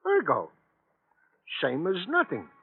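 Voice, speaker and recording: An actor playing a wordy, mock-pompous American police sergeant sidekick, with fussy formal phrasing, in a 1950s radio drama. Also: male voice, sits high, huge pitch swings, slow, gravelly, breathy edge, heavily acted. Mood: fussy, earnest. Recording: vintage radio, tinny band-limited sound.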